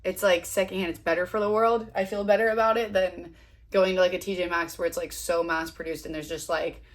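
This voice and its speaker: A woman's voice: fairly low pitch, steady tone, brisk. A woman in her twenties with a sniffly cold, chatting casually and rambling to her viewers while she does her makeup at home. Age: 20s. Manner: chatty, rambling, self-deprecating. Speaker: vlogger. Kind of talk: casual chat to camera.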